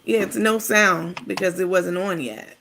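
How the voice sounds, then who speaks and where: A woman with a raspy voice hosting a casual livestream, chatting informally with viewers and reading out their comments. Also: on the low side, fairly even intonation, measured pace, fairly bright tone, a little hiss or hum. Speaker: livestream host.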